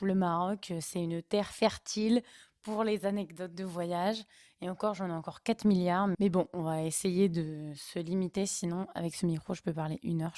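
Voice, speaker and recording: A woman's voice: on the low side, darkish tone, no room sound, studio quality. A young woman from northern France, casually telling travel stories with chatty, laughing asides. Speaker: vlogger. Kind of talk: casual storytelling.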